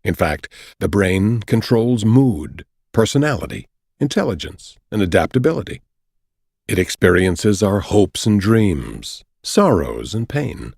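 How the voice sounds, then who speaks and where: Male narrator, scripted nonfiction audiobook, husky, measured explanatory delivery, very dry close studio sound. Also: on the low side, darkish tone, dry recording.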